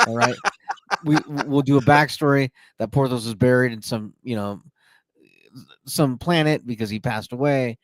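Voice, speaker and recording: A man's voice: medium pitch, expressive, normal speed, no echo. A man hosting a podcast, chatting casually and joking, with frequent fillers. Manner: playful, jokey, many fillers. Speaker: podcast host. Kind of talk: casual chat.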